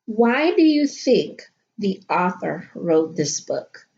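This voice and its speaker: A woman teacher reading a picture book aloud to children and talking them through it, with expressive, dramatic delivery and drawn-out emphasis. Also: medium pitch, dull audio. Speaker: elementary school teacher.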